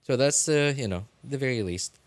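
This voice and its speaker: A man live-streaming a game, chatting casually with viewers and answering chat in a relaxed, chatty way. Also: mid-range voice, moderate pace. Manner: frequent fillers and restarts, laughs easily.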